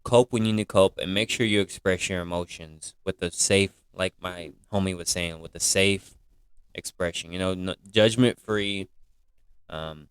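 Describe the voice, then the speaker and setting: A man's voice: low-pitched, fairly even intonation, moderate pace, clear, resonant tone, no room sound. A man in his twenties co-hosting a casual podcast, talking in an emphatic, opinionated way and often asking "you know what I'm saying". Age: 20s.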